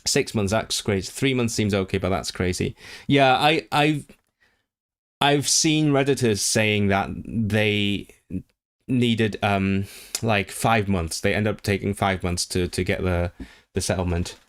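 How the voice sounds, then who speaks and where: Male streamer, lowish voice, casual rambling chat to stream audience at a home desk mic. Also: unhurried, clean tone. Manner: rambling, frequent restarts and fillers. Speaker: language streamer.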